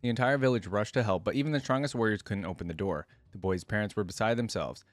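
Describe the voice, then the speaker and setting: A man's voice: fairly low pitch, fast, dry recording, soft background music. A man hosting a reaction video, moving between reading lore aloud and informal commentary as he thinks aloud.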